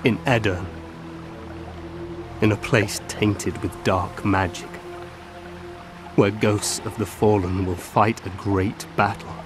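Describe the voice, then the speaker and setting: An actor playing a mortally wounded elf seer in a video game, haunted and mournful, speaking weakly and haltingly. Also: male voice, fairly low pitch, steady tone, music in the background. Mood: fading, solemn. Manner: slow, halting, labored. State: weak from fatal wounds, as acted.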